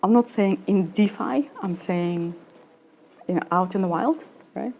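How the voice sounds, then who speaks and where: Woman, 30s, conference presenter, filler-heavy, average pitch. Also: mellow, slight background noise. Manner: halting, many fillers and restarts. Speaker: conference speaker. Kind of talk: conference talk with audience Q&A. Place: lecture room at a conference.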